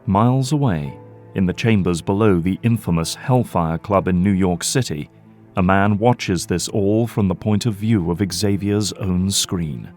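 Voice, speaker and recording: A man narrates an audio drama in a scripted, dramatic style. His voice sits fairly low, with faint music underneath. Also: measured pace, a little mellow.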